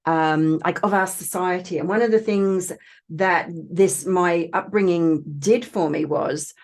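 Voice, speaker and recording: A woman's voice: on the low side, measured pace, darkish tone. Woman with a slight Australian twang. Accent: English with slight Australian twang. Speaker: interview guest, transformational coach. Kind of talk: casual personal storytelling.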